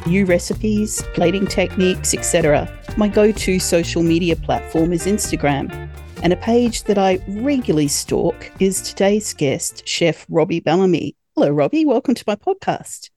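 A woman's voice: on the low side, lively intonation, moderate pace, warm tone, faint music behind. A woman who works as a yacht chef, hosting a podcast interview in a friendly, chatty way and laughing often. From Australia.

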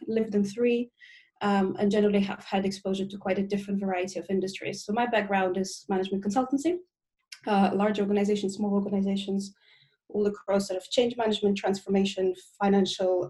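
A woman from Russia answers questions on a podcast as a guest expert. Her voice is soft and mellow. She speaks fluently in long, well-built explanations, often pausing on a quick "um" before going on. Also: medium pitch. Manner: fluent and articulate, with frequent "um" fillers. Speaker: guest, procurement and sustainability consultant.

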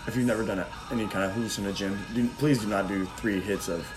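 A hoarse young man co-hosting a podcast, rambling with crude, goofy jokes. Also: on the low side, fairly even intonation, fast talker, over music. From Ohio. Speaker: podcast co-host. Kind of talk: casual chat.

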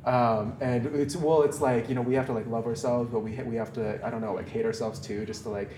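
A man's voice: mid-range voice, steady tone, a little fast, warm tone, echoey room, slight background noise, rough recording. A man whose first language is Mandarin, talking in a casual, reflective way as a podcast guest, rambling with many fillers and restarts.

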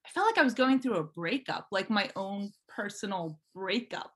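Female podcast guest, informal and chatty, relaxed personal storytelling in everyday conversational language. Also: medium pitch, moderate pace, husky. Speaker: podcast guest, fan fiction writer. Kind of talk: casual chat, telling personal story.

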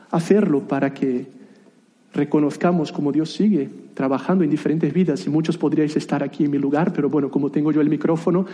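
Preacher, thirties, male, Portuguese native; higher-pitched, mellow voice, chatty sermon delivery with restarts. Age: 30s. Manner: conversational, self-deprecating asides, frequent restarts.

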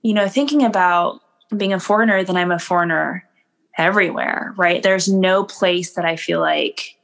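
A woman's voice: on the low side, moderate pace, creaky. Chatty woman, lots of fillers. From United States. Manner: chatty, full of "like" and "you know", laughs often. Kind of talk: casual conversation.

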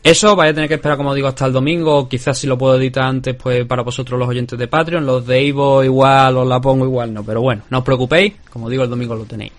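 A man's voice: mid-range voice, steady tone, fast talker, few pauses. Chatty Spanish-accented male podcast host. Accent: Spanish from Spain. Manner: chatty, rambling with many restarts. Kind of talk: casual chat. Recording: remote call.

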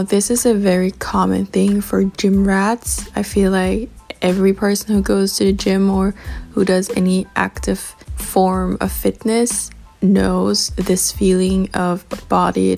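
A young woman hosting a casual solo podcast, candid, informal and slangy, with a slightly raspy just-woke-up voice. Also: mid-range voice, darkish tone. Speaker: podcast host.

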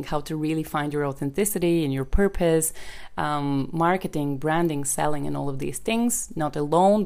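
Low-voiced woman from Bulgaria hosting a podcast. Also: a little mellow. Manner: chatty, conversational, frequent ums. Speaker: podcast host and business coach. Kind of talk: solo podcast explainer.

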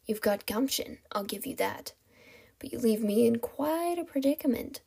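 A woman voicing a menacing, mocking male kidnapper in a hollow, breathy hissing whisper for a story reading. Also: fairly high pitch, steady tone, mellow, exaggerated voice. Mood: taunting menace. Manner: taunting, drawn-out menace.

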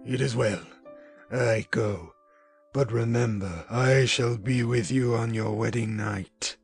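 A man voicing a gravelly vengeful creature. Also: medium pitch. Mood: menacing. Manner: slow, heavy, threatening delivery. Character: vengeful lonely male creature, anguished and menacing. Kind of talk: dramatic audiobook reading. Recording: music bed underneath.

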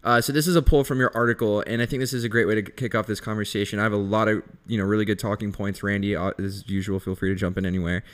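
A man's voice: fairly low pitch, level tone, very fast, hardly any pauses. A man hosting a podcast in casual conversation, upbeat and slangy. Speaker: podcast host.

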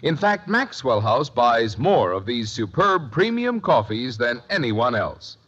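A man delivering a scripted vintage radio commercial with an emphatic, polished sales pitch. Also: very animated, unhurried, fairly bright tone. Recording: dull vintage radio recording.

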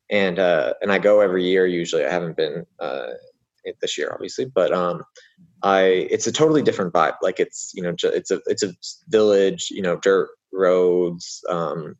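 Man, very low voice, casual rambling talk, many "you know"s, muffled recording. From United States. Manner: rambling, many fillers and restarts. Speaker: guest. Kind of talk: casual conversational interview.

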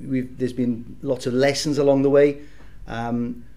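A man from South Wales speaks with a clear Welsh accent as a guest on a career podcast. His voice sits at an ordinary middle pitch. He talks in a relaxed, reflective way and laughs easily as he goes. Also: measured pace. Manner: warm, reflective storytelling with easy laughs. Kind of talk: casual interview chat.

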